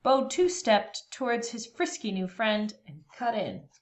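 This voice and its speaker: Animated, higher-voiced woman reading a storybook. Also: measured pace, a little hiss or hum. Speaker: read-aloud storyteller. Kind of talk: expressive children's storybook narration.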